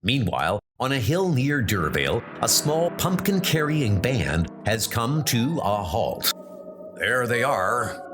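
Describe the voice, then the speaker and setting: A man's voice: medium pitch, sing-song, a little slow, hardly any pauses. A man giving dramatic, suspenseful narration for a comic book. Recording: music playing underneath.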